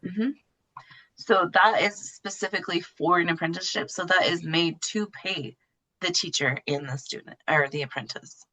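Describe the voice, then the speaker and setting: Woman with a bright, forceful voice. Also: lowish voice, moderate pace, rough recording. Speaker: podcast guest explaining her know-how. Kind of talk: casual explanatory interview talk.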